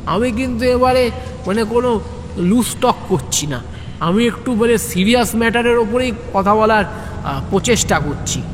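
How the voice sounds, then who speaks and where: A man voicing a pompous, aggrieved fat club president in a comic audio story, pleading plaintively and haltingly for respect. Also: high voice, animated, big-room sound, noisy background. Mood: huffy, defensive. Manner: plaintive, self-important, halting with filler words.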